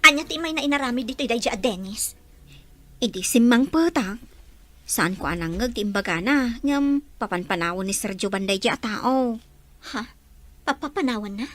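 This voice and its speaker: A woman's voice: on the high side, animated, moderate pace, natural voice. An actress playing an anxious young woman who works in a household, speaking hurriedly in a radio drama.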